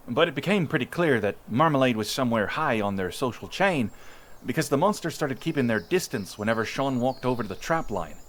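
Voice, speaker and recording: A man playing a chatty young villager who fondly recounts sea monster tales in an informal, rambling audio roleplay. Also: medium pitch. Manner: informal, animated, rambling witness account with hesitations. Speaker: voice actor. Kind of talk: in-character audio roleplay storytelling, conversational.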